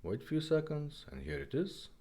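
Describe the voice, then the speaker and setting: A man who is not a native English speaker, giving a casual product demonstration in a halting, simple step-by-step way. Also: on the high side, big pitch swings, vocal fry, dark tone. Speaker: presenter of a vintage car radio demonstration.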